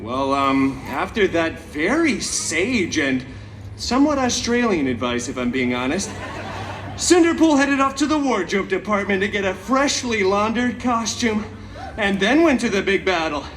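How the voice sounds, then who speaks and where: A man's voice: high voice, big pitch swings, a little slow, fairly bright tone, exaggerated voice. A man playing a chaotic, cheeky, vain masked antihero who tells a story theatrically to a live audience with sassy, mock-sweet asides. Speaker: theme park stage show performer. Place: theme park stage, recorded from the audience. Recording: amplified live show, distant, crowd noise.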